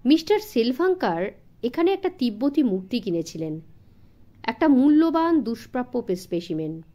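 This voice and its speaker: A woman voicing a sharp male detective in his late twenties for an audiobook, with an inquisitive, teasing manner. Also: fairly high pitch, very animated, moderate pace, lo-fi sound. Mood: inquiring. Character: sharp, wry 28-year-old male private detective.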